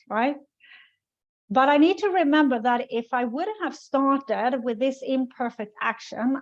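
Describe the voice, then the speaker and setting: A woman's voice: a little high, muffled recording. Emphatic woman coaching in a webinar. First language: Swedish. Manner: emphatic, repeats points, tags lines with "right?". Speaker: business coach. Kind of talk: webinar teaching.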